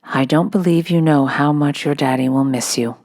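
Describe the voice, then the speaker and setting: A woman voicing a tender, boyish gentleman captain who is a doting father, in a storybook. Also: sits low, steady tone, normal speed, no room sound, studio quality. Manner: tender, affectionate.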